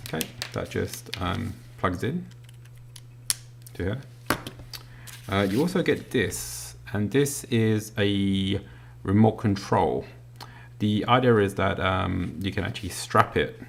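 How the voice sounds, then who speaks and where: A British man casually walking through an unboxing, talking as he handles the items with lots of ums and pauses. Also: deep voice, creaky, slight background noise. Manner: relaxed, filler-heavy, talks while handling items. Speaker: product reviewer.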